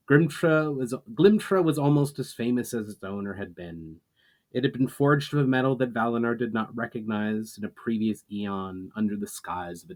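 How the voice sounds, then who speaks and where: A man reading a fantasy story aloud as dramatic narration, in a measured, solemn manner with emphatic phrasing. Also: lowish voice. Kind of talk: dramatic audiobook-style narration.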